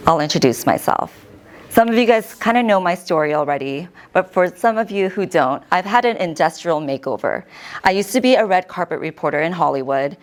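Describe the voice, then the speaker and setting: An American woman in her thirties, a host giving a lively personal talk to an audience and often quoting herself. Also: on the low side. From United States. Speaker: host and keynote speaker.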